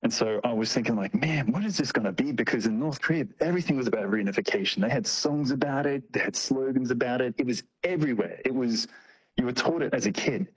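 A man with a mid-range, slightly rough-edged voice talks as a guest in an interview. He is casual and slangy, explaining things through anecdotes and acting out little bits of quoted speech as he goes. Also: mid-range voice, quick pace, low-quality recording. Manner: informal, slangy, acts out short quotes. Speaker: interview guest, tour operator.

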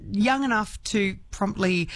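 A man hosting a radio show, chatting playfully with a guest and making quick jokes. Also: sits high, fairly bright tone, dry recording. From grew up in Jakarta. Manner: playful, jokey, quick asides. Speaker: radio host. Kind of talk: radio interview chat. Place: radio studio. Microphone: studio microphone.

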